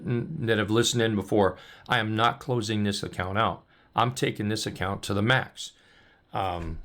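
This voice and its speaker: A man coaching on trading, talking loosely and upbeat with many ums and restarts as he walks through charts, his voice going hoarse. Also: lowish voice. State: losing his voice. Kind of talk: casual chart walkthrough.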